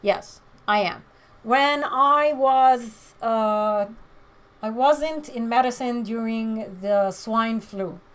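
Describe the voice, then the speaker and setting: A woman with a hoarse voice, chatting frankly with viewers during a livestream and giving her opinions with many pauses. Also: fairly high pitch. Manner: frank, opinionated, hesitant fillers. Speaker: livestream host. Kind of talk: casual chat answering viewer comments.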